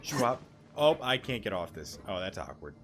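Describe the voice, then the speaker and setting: A man's voice: fairly low pitch, big pitch swings, normal speed, a bit bright, faint music behind. A young man giving casual gameplay commentary, rambling and thinking aloud in slangy, sweary speech. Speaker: gaming YouTuber.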